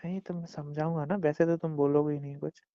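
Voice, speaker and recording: A young man with a gravelly voice, chatting casually and intimately with his partner on a phone call. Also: on the high side, flat delivery, fast talker, no echo. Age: young adult. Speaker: boyfriend. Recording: muffled lo-fi phone call recording.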